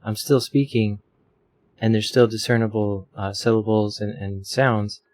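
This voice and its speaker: A man talks through his recording setup in a loose, rambling way, stumbling over fillers as he goes. His voice sits a touch low and comes through a desk microphone at home. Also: fairly even intonation, a little slow, a little mellow. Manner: rambling, self-deprecating, many fillers. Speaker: streamer explaining audio settings. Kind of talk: casual tutorial. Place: home room with a fan running.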